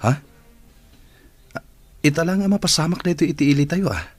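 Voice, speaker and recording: A man's voice: fairly high pitch, lively intonation, normal speed, slightly rough, no room sound, faint music behind. A man playing a concerned, pious town marshal in an Ilocano radio drama, speaking earnestly. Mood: worried.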